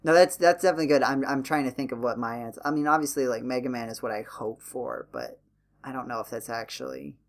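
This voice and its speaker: Male podcast host, mid-pitched, casual and conversational, dry self-mocking humour. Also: fast talker, vocal fry.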